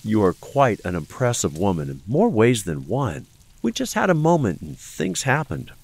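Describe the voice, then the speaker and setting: Casting: actor for suave, secretive male inventor, audio drama, lowish gravelly voice. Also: wildly swooping pitch, normal speed, a little mellow, no room sound, heavily acted. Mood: smooth, amused.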